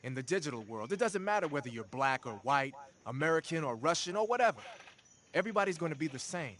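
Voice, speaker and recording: An actor playing a talkative, streetwise tech and weapons expert in a video game, explaining things informally. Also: male voice, fairly high pitch, animated, fast talker, fairly bright tone. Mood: frank, earnest.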